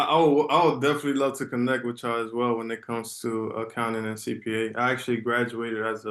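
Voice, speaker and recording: Flat-voiced man in a roomy room. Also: medium pitch. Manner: rambling, restarts often. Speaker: networking call participant. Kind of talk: casual advice on a call. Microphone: video call. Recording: roomy video call audio.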